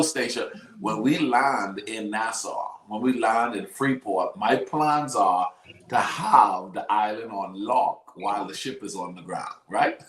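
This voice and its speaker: A man from the Bahamas with a Bahamian accent, hosting a talk show in an upbeat, excitable way and repeating his phrases. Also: fairly low pitch, reverberant. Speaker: host. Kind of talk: casual talk show chat.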